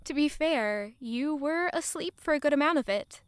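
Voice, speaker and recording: High-voiced woman as an affectionate young sweetheart. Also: lively intonation, normal speed, no room sound, studio quality. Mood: happy, enchanted. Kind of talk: audio drama acting.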